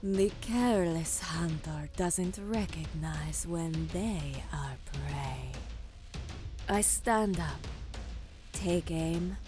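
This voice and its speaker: A woman playing a grim, focused alien commander, narrating an audio drama in the first person with slow, hushed, deliberate delivery. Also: sits low. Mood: hushed, tense focus. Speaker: narrator and lead character. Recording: music under the voice.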